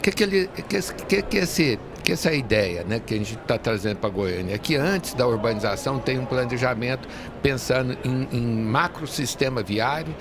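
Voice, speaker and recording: A man's voice: mid-range voice, expressive, moderate pace, crowd noise. A middle-aged man, explaining things earnestly in a conversational interview, often rephrasing as he goes. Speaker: real estate industry association leader, podcast guest. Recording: busy trade fair background noise. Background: urban fair ambience.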